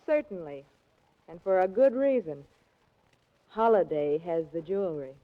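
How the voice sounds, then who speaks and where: An actress playing a greedy, scheming femme fatale, cool and coaxing, in 1940s radio drama style. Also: female voice, fairly low pitch, sing-song, measured pace. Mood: cool, defiant. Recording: muffled 1940s radio recording.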